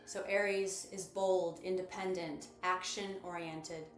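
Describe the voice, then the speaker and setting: A woman leading a guided meditation as host, speaking in a measured, instructive and gently encouraging manner. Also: lowish voice, little pitch movement. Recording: roomy echo with music behind. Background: music.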